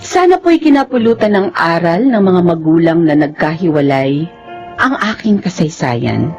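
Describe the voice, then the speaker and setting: Low, earnest woman narrator. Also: big pitch swings, moderate pace, a little mellow. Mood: reflective, relieved. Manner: earnest, reflective. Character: reflective separated mother closing her life story. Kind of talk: Filipino radio drama narration. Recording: dull lo-fi sound, faint music.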